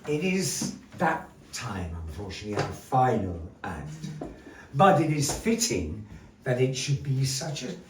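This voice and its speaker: A man's voice: medium pitch, big pitch swings, a little slow, distant mic, a little hiss or hum. A man hosting a puppet show before a live audience, with showy, grandly polite, playful stage patter. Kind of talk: theatrical stage patter with a puppet fortune-teller. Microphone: distant room mic. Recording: echoey room heard from afar.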